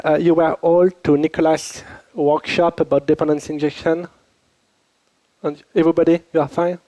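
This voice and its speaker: A man with a French accent runs a conference workshop. His voice sits a little high but sounds mellow and warm, and it is recorded close and dry. He talks informally and keeps quizzing the room. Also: creaky, no echo, poor audio. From Switzerland. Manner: informal, chatty, addresses audience with questions. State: recovering from a cold. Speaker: workshop instructor. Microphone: handheld or lapel microphone.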